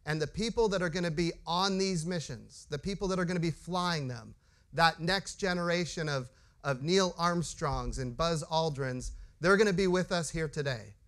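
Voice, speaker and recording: A middle-aged man hosting a live panel as an agency administrator, warm and prone to restarts and repeated words. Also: a little high, normal speed.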